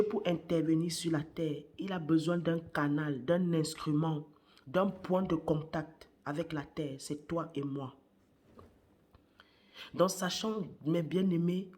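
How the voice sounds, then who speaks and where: Slow, hushed woman preaching with a cold. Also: deep voice. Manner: slow and deliberate, repeats phrases, hushed at times. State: has a cold, voice worn. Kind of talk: morning sermon exhortation.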